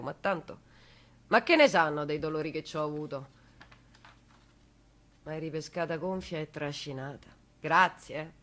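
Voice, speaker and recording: A woman's voice: fairly low pitch, pushed and bright. A woman playing a grieving, bitter lone mother in a theatrical monologue, with a Roman accent and intimate, broken, repetitive delivery swinging from murmurs to cries. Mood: bitter resignation.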